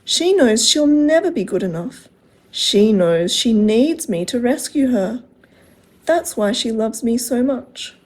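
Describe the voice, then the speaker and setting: Earnest, forgiving storybook holy man, read by a woman, mellow, slightly husky, plain-spoken. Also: a little high, lively intonation, takes it slowly.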